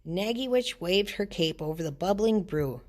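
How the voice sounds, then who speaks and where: A woman reading a children's storybook aloud in an expressive narrating style. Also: fairly low pitch, moderate pace. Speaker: storybook reader.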